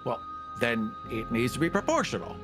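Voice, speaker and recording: A man's voice: medium pitch, very animated, normal speed, voice pushed down, close to an everyday voice. A man playing a smug, self-righteous owl-headed being in a tabletop roleplay, gloating and insisting that he should judge mortals. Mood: indignant, self-righteous. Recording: voice over clear background music.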